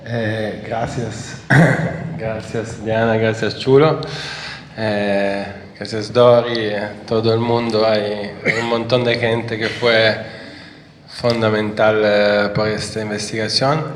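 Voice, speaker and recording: A man with an Italian accent, a native Italian speaker, presenting his book in a slow, halting, emotional speech. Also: fairly low pitch, level tone, a little hiss or hum. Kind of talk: public speech. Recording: echoey hall with reverb.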